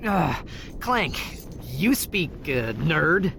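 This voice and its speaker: An actor playing a cocky young alien hero in a video game, casual and boyish. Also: male voice, fairly high pitch, sing-song, drawn-out words, gravelly, bright, forceful tone, a little hiss or hum, full character voice. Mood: baffled. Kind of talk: video game cutscene acting.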